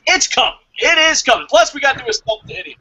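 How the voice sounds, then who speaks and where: A man's voice: very high voice, lively intonation, fast talker, pushed and bright. A man hosting a casual wrestling review podcast, chatting informally with some swearing. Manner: profane, informal. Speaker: podcast host.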